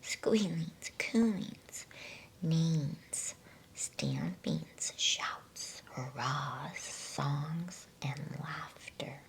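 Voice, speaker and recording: A woman narrates a storybook in ASMR style. Her voice is whispered and lowish, with a crackly edge, and she takes her time over each phrase. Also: sing-song. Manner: soft, hushed, unhurried. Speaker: ASMR bedtime story reader.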